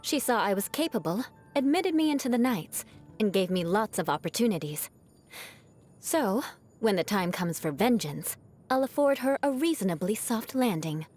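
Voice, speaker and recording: A woman voicing a proud young noblewoman knight in a video game, composed and haughty, with formal diction and a grudge-holding edge over a softer heart. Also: mid-range voice, lively intonation, moderate pace, faint music behind, natural voice. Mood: cool, vengeful. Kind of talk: scripted video game character voice lines.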